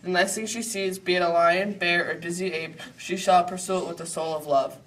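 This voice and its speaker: A performer playing a scheming male fairy king in an amateur puppet play, declaiming Shakespeare in a grand, deliberate way. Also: medium pitch, steady tone, fairly bright tone, lightly acted. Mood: gleefully scheming. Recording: distant, slightly noisy amateur recording.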